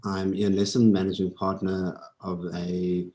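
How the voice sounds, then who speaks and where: A man, a venture fund partner, introducing himself in a relaxed, steady way on an online panel. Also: deep voice, measured pace.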